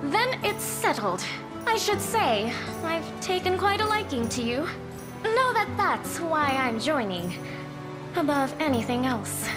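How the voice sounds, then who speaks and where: High-voiced haughty young noblewoman. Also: sing-song, takes it slowly, heavily acted. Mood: coy, confident. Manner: theatrical, imperious, coquettish. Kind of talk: video game cutscene acting. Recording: loud game music behind. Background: game music.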